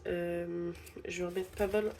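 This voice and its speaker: A woman's voice: on the low side, flat delivery, measured pace, mellow, a little hiss or hum. A young woman with a stuffy head cold, casually narrating a budgeting vlog and hesitantly counting bills aloud. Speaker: budgeting channel host.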